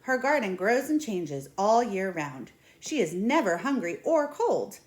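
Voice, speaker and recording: A woman's voice: medium pitch, very animated, a little slow, pitched above the performer's own voice. A woman reading the part of an earnest, proud female museum doctor in a storybook.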